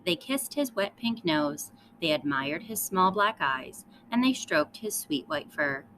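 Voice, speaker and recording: A woman's voice: fairly low pitch, big pitch swings, a little slow, fairly bright tone, slight background noise, poor audio. A woman teacher reading a children's storybook aloud, speaking directly to young listeners with emphatic storytelling.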